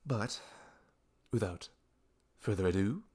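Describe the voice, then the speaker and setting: A man's voice: on the low side, slow pace, a little mellow, breathy edge. A man giving a casual, rambling creator's intro in a chatty, self-deprecating way. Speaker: audio roleplay creator introducing a video.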